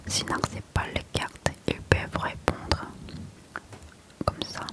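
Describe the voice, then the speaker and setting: A woman teaching travel phrases in a soft whisper, repeating each phrase slowly with frequent fillers. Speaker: language teacher.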